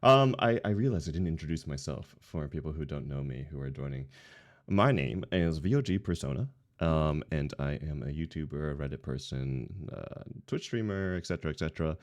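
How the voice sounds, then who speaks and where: A man's voice: rumbling low, lively intonation, brisk. A man hosting a livestream with casual, jokey chat, introducing things and welcoming viewers informally. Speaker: stream host.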